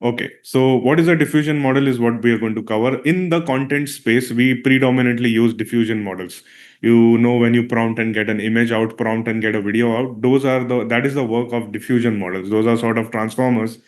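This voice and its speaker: A man, a Marathi speaker, teaches a live online session with a medium-pitched voice. He talks loosely and conversationally, leaning on "uh" and asking "all right?" to keep his audience with him. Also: steady tone, brisk, a little mellow. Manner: conversational, frequent "all right?" checks and "uh" fillers. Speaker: filmmaker and workshop presenter. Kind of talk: live online workshop talk.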